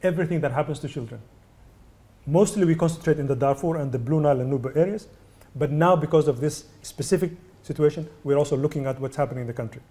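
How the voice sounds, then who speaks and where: A man from Sudan, a UNICEF representative, answering press questions in a measured way with many hesitations. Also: a little high, mellow. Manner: measured, many ums and uhs, restarts. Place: indoor venue.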